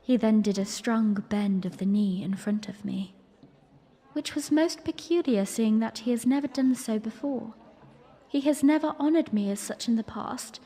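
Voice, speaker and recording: A woman's voice: a little high, warm tone, breathy edge, long reverb tail. A woman playing a young princess, narrating her memory in a dramatic first-person audio drama style. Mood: intrigued.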